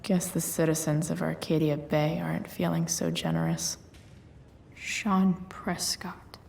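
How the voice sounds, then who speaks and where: Sardonic teenage game heroine, lowish pitch, breathy muttering, unhurried, guarded but caring, faint background noise. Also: female voice, flat delivery, a little mellow, full character voice. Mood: wry. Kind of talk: naturalistic video game voice acting.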